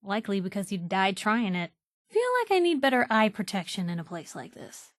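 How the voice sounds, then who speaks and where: An actress playing a sardonic, plucky young reporter with an American accent, making casual companion remarks in a video game. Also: female voice, medium pitch, big pitch swings, a little fast, no echo, studio quality, lightly acted. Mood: wry. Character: wry, plucky young female reporter in a post-nuclear wasteland.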